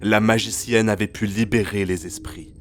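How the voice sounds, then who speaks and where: A man's voice: lowish voice, fairly even intonation, moderate pace, a little hiss or hum. A man narrating a fantasy audio drama in a literary, solemn storytelling manner.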